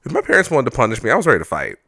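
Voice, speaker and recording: A man's voice: mid-range voice, huge pitch swings, racing, gravelly, bright, forceful tone. A young man co-hosting a podcast, telling stories from growing up with playful joking asides. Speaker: podcast co-host. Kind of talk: casual chat.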